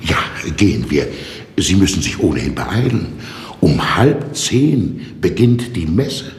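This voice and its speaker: A gravelly, ironic jailer. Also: male voice, low voice, sing-song, slow, roomy sound, big-room sound, slight background noise, exaggerated voice. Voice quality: dry, ironic. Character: dry, mocking male jailer. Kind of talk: 1960s radio drama acting.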